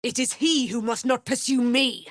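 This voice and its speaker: A woman's voice: on the high side, normal speed, dry recording, clean studio sound, close to an everyday voice. An actress voicing a proud, vengeful immortal warrior woman in a fantasy game, with grand, archaic, declamatory delivery. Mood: fierce, impassioned. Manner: declamatory, archaic, grand, speaks of herself in third person. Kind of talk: dramatic fantasy game acting.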